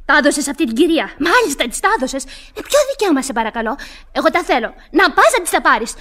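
A woman's voice: high-pitched, very animated, fairly bright tone. An actress playing a jealous, fiery young girl in a Greek radio play, scolding and insisting in a theatrical way. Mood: vengeful, wounded.

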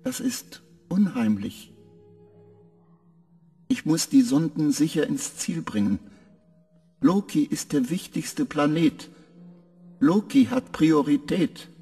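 An actor playing a confused male spacecraft AI who gives short flat answers and drifts into eerie visions, in a radio play. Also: a little high, slow, pauses often, breathy edge, faint music behind, full character voice. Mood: uneasy.

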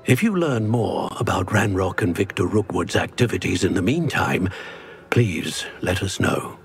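An actor playing a stern old wizard portrait, speaking in a measured, formal way over soft game music. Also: male voice, deep voice, expressive, vocal fry, breathy edge, heavily acted. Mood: grave. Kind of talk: video game dialogue. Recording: game audio with soft score.

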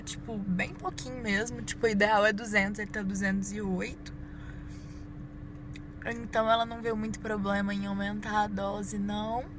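A Brazilian woman in her twenties chatting informally to viewers inside a parked car, busy with her makeup as she talks. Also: mid-range voice. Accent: Brazilian Portuguese. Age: 20s. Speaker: beauty vlogger. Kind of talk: casual chat to viewers while doing makeup. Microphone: phone at arm's length. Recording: clear vehicle and ambient noise.

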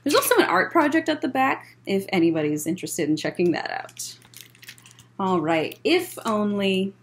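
A woman in her thirties reading a picture book aloud as a children's librarian, in measured phrases with chatty asides. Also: mid-range voice, expressive. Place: library room, indoors.

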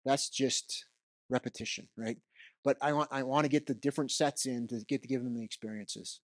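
A man's voice: mid-range voice, fairly even intonation, fairly quick. A middle-aged man giving an unscripted keynote in a conference hall, speaking conversationally into a handheld microphone and often tagging his points with "right?". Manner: conversational, roams the stage, frequent "right?" tags.

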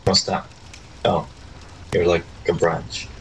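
Deep gravelly man, hesitant gamer. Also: level tone, slow, slight background noise, rough recording. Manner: hesitant, stammers and restarts. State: tired. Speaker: co-op partner, new to the game. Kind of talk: casual gaming chat.

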